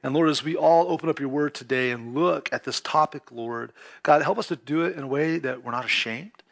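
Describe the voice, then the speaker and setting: A man with a high voice preaches a sermon to his congregation. He is from California and keeps his delivery casual and conversational, often checking in with the listeners as he goes. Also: fairly quick, gravelly. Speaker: pastor. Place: church.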